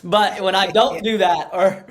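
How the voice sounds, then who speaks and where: A man's voice: sits high, fairly even intonation, a little slow. Chatty man, many fillers and restarts. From Ukraine, raised in the US. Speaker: church pastor, podcast guest. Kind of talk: casual podcast conversation.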